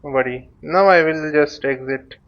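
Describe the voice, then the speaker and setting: A man hosting a flight simulator tutorial, thinking aloud in short, halting phrases as he casually walks through what he is doing. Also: a little high. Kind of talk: casual spoken walkthrough while flying a simulator. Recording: dull, lo-fi microphone sound.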